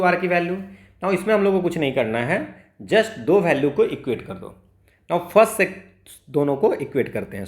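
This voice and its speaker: Higher-voiced Indian male tutor, echoey room. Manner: informal, step-by-step, checks understanding with frequent tags. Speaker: maths teacher for exam preparation. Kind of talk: explanatory lesson mixing Hindi and English.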